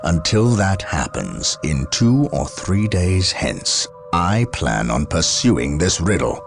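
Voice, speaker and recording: An actor playing a stern, proud space marine captain in a game cutscene, speaking with formal authority. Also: male voice, deep voice, very animated, slow, vocal fry, heavily acted. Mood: stern, defiant. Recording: loud music behind.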